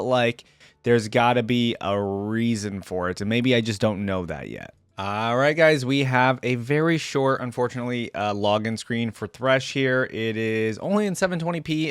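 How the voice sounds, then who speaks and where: A man's voice: mid-range voice, clear, resonant tone, no echo. A man hosting a reaction video, commenting casually as he watches.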